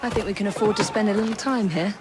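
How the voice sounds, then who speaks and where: An actress as a brisk, quick-talking young Englishwoman. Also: medium pitch, a loud crowd, close to an everyday voice. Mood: composed, dry. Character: brisk, poised, dry-witted young Victorian woman. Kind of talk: video game cutscene acting.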